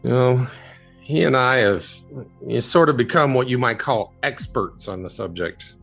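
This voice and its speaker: This is a haunted, earnest man played by an actor in a radio play. His medium-pitched voice is gravelly, and he tells his tale haltingly, as someone still shaken. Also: expressive, fairly bright tone, faint music behind. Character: haunted, earnest male UFO witness and author. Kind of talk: audio drama monologue.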